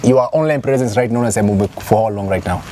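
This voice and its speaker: A man's voice: lowish voice, rapid-fire, mellow, slight background noise. A man in his twenties with a Kenyan accent, hosting a casual chat interview and joking as he mixes Swahili slang with English. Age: 20s. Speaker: host.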